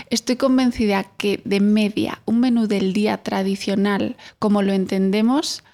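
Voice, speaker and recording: A woman's voice: mid-range voice, a little mellow. A woman giving explanatory commentary as a nutrition expert.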